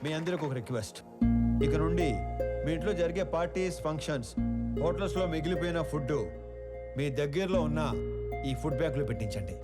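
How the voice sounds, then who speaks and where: An actor playing an earnest, demanding company boss, formal and firm, in a film drama. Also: male voice, a little high, lively intonation, fast talker, long pauses, loud music behind the voice, close to an everyday voice. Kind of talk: film drama dialogue and speech. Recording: loud film score over dialogue.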